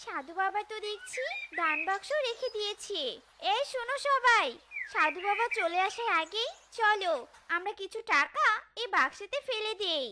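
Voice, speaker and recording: A woman voicing a tiny, excitable young tailorbird, chirpy and gullible. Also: extremely high pitch, moderate pace, background noise.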